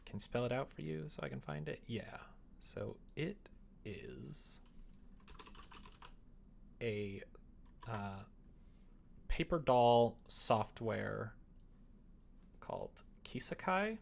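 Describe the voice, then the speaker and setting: A man's voice: mid-range voice, lively intonation, slow pace, vocal fry, pauses often, slight background noise. A man streaming live, chatting casually with viewers and rambling as he thinks aloud.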